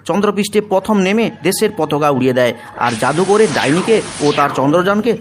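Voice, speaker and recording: A man's voice: high voice, animated, a little fast, noisy background. A man reading scripted storybook narration for children's cartoon tales. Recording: music bed behind the voice. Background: background music.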